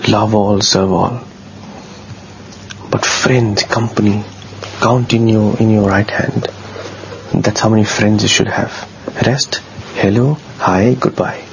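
Man, low bassy voice, earnest halting storyteller, muffled lo-fi recording. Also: fairly even intonation. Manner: earnest, halting, with many repeated words. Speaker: guest telling a personal story. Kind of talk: casual storytelling. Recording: dull lo-fi sound with faint noise.

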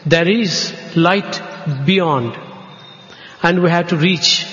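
A man from India with an Indian English accent, a native Telugu speaker, giving a measured devotional talk at a spiritual gathering. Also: sits high, fairly bright tone. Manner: slow, measured, drawn-out phrases. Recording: echoey hall, dull lo-fi sound.

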